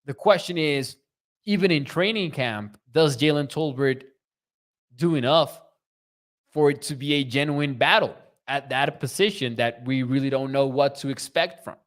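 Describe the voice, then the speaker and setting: A man's voice: mid-range voice, moderate pace. A young man from Mexico with a Mexican Spanish accent, hosting a live sports talk stream and reading viewer comments aloud in a conversational way. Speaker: podcast host.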